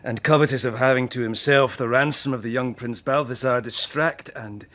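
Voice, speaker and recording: A man's voice: mid-range voice, fairly even intonation, moderate pace, fairly bright tone, close to an everyday voice. A male actor playing a scheming young nobleman in a classical radio drama, formal and insistent. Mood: coolly persuasive.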